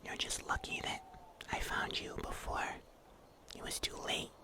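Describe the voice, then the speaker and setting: Man acting as protective huntsman, hushed whisper, unhurried, wry, gently teasing, intimate ASMR roleplay. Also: natural voice. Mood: ominous, wry. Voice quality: breathy, unvoiced whisper. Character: mysterious huntsman in dark woods, wry and protective.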